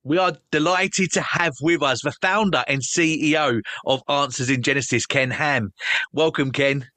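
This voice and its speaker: A man's voice: fairly high pitch, moderate pace, bright, forceful tone, dry recording. A man hosting a podcast, introducing his guest in an upbeat, welcoming way. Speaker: podcast host. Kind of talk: podcast interview.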